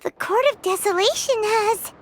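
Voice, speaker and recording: Squeaky, tiny excitable sidekick. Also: female voice, moderate pace, a little hiss or hum. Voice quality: squeaky, childlike. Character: tiny, excitable, childlike companion creature. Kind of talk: cartoonish video game dialogue.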